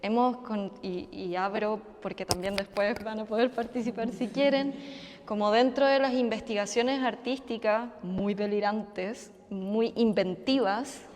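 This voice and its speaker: A woman artist speaking on a panel in a reflective way, with fillers and pauses. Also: medium pitch, fairly even intonation, darkish tone, big-room sound. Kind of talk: public panel discussion. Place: community center hall.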